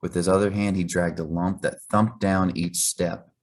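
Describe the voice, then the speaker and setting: Low-pitched man reading a storybook. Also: little pitch movement, moderate pace. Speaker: reader. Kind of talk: scripted storybook narration.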